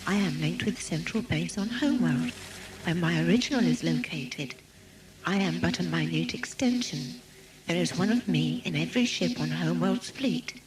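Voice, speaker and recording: A woman's voice: fairly low pitch, expressive, normal speed, a crowd in the background, lightly acted, poor audio. A woman playing a polite but sinister ship computer in an audio drama, with a formal, courteous, controlling manner. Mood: courteous, smug. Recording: music loud behind voice, 1980s cassette. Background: loud music.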